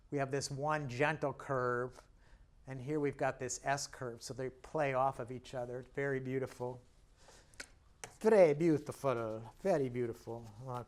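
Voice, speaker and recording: A man teaching a painting lesson in a casual, joking way, talking as he works and steps back to look, with a slightly hoarse voice. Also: mid-range voice. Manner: chatty, self-deprecating and jokey while working; talks while painting and stepping back from the canvas. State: slightly hoarse, clearing his throat. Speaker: painting instructor.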